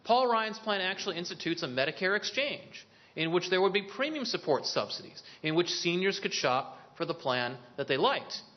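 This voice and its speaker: A man's voice: high voice, lively intonation, muffled recording. A man presenting a policy proposal at a briefing, explaining points step by step and answering his own questions, with a few restarts. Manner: explanatory, poses questions then answers them; occasional restarts and filler pauses. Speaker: policy speaker on a briefing panel. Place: briefing room.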